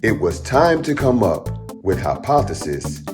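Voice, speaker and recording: A man reading a rhyming children's picture book aloud as a storytime host, in an expressive, dramatic storyteller manner. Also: sits low, unhurried, music in the background.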